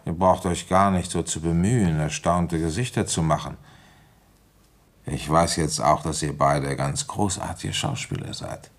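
An actor playing a weary, shrewd police inspector in a German radio play, brooding and speaking deliberately as he reasons things through. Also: a bass voice, heavily acted. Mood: accusing, ironic. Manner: deliberate, reflective, halting while thinking aloud. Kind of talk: German radio play acting, first-person narration and dialogue.

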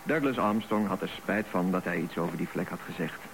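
A man narrating a scripted radio drama in a measured storytelling way. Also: deep voice, vocal fry. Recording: noisy radio recording.

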